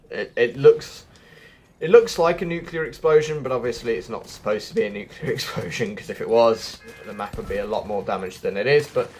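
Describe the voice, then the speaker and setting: A man's voice: medium pitch, slight background noise. A man giving casual, chatty walkthrough commentary as a gaming video host, often thinking aloud. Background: background music.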